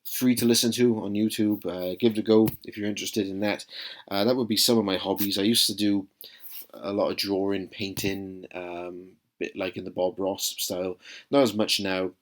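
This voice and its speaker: Welsh man vlogging, low voice, hesitant. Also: level tone, normal speed. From South Wales. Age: 30s. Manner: hesitant, many ums and uhs, friendly and earnest. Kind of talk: casual talking-to-camera vlog.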